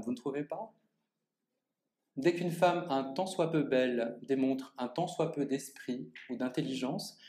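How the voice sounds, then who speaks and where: A man performs a public literary reading, turning his measured, theatrical lines straight at the listeners. His voice sits at an ordinary middle pitch. The sound bounces around a roomy gallery space. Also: a little mellow. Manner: measured, theatrical delivery addressing the audience directly. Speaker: author reading his own text. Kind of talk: public literary reading, first-person monologue. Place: art gallery. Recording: echoey gallery room.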